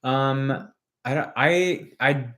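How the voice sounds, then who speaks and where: A man in his late thirties co-hosting a casual live chat, answering viewer questions in measured, plain sentences. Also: medium pitch, pushed and bright. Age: 30s. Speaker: co-host of a live chat stream. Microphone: phone front camera.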